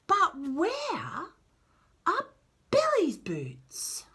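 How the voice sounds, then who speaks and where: An Australian woman reads picture books to very young children. Her voice is bright and swings widely in pitch, with a slow, sing-song delivery. She keeps turning to the child with questions and chatty asides. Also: huge pitch swings. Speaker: children's picture book read-aloud host.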